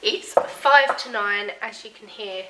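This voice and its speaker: A woman, hoarse and croaky from a sore throat, chatting casually to her camera at home with plenty of ums. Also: mid-range voice, pushed and bright, a little hiss or hum, poor audio. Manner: chatty, many ums, unhurried. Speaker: family vlogger. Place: at home, indoors.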